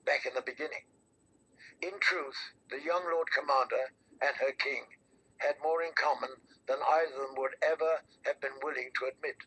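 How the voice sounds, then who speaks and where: A man with a raspy voice reading a scripted fantasy novel as an audiobook narrator. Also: mid-range voice, fairly even intonation, normal speed, pushed and bright, rough recording.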